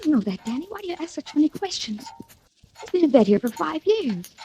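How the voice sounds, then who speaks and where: A woman's voice: sits high, very animated, brisk, mellow. An actress playing a frightened woman in love with a fugitive, pleading anxiously in 1940s radio thriller style on a noisy old recording. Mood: alarmed.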